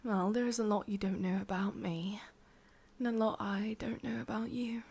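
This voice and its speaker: A woman with an English accent voicing a sorrowful, obsessive witch in an intimate ASMR roleplay, speaking softly in halting, confiding whispers. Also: medium pitch, steady tone. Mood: tender, wistful. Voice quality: soft, breathy, mostly whispered. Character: sorrowful, obsessive female witch, tender yet menacing.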